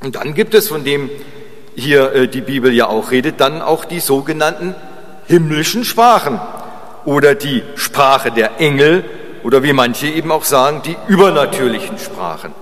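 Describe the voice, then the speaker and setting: A man gives an informal sermon in a large, very reverberant church space. His voice sits at a medium pitch. He speaks haltingly and conversationally, repeating phrases and checking in with short tag questions. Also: animated, fairly bright tone. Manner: chatty, seeks agreement with short tag questions. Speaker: preacher. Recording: long reverb of a large hall.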